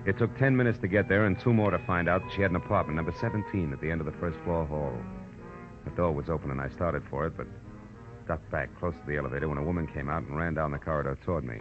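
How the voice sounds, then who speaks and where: A man's voice: rumbling low, steady tone, fast talker. A man playing a wry, tough American private detective in a 1940s-style radio drama, wisecracking as he narrates his case. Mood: wry narration. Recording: dull old radio recording with music.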